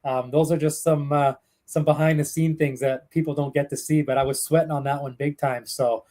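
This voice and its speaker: A man's voice: fairly high pitch, level tone, fairly quick, warm tone. A young Canadian man, a hockey broadcaster, answering interview questions in a chatty, storytelling way with many fillers and restarts. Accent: Canadian English. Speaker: hockey play-by-play broadcaster, guest.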